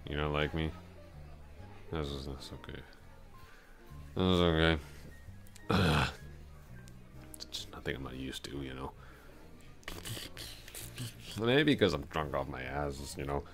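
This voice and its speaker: A man acts out a drunken male coworker with a crush, in a very deep, slow-moving voice. He rambles and repeats himself, bashful and self-deprecating, in an intimate ASMR roleplay. Faint music plays behind him. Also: expressive, gravelly, full character voice. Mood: dejected, embarrassed.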